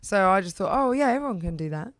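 Husky young woman, raspy, chatty. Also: medium pitch, lively intonation, no echo, studio quality. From England. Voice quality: husky, rough. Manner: chatty, repeats "like", quick restarts. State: hoarse from heavy use. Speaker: singer, guest. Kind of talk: casual interview chat.

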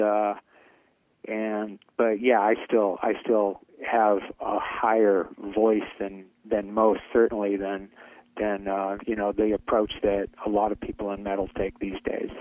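A man's voice: lowish voice, darkish tone, no echo, poor audio. A middle-aged man, a metal singer, answering interview questions in a hesitant way, with many fillers and restarts. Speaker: metal band vocalist. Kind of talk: interview, casual chat.

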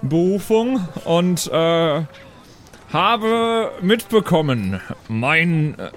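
A man voicing a pompous, indignant dwarf posing as a lawyer, speaking in stilted, affected formal language with halting pauses in an improvised role-playing game. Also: on the high side, sing-song, soft background music, pitched above the performer's own voice, exaggerated voice. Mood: stiffly formal.

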